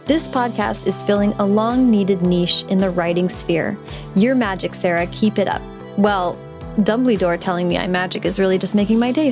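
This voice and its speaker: A woman with a voice of middling pitch hosts a podcast in an upbeat, chatty manner. She talks in quick bursts. Music plays clearly under her voice. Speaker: podcast host. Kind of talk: scripted intro then casual interview chat.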